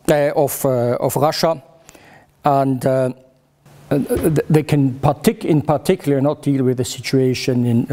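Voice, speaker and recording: Slow, halting man presenting. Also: medium pitch, mellow. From Switzerland. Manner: halting, hesitant delivery with repeated false starts. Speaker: former military advisor, conference speaker. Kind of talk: prepared conference presentation.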